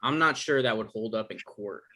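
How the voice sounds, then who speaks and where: A man acting an evasive, awkward novelist in a comedy-drama table read, halting and defensive, with stammers. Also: lowish voice, pushed and bright. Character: Michael, evasive, awkward novelist visiting his ex.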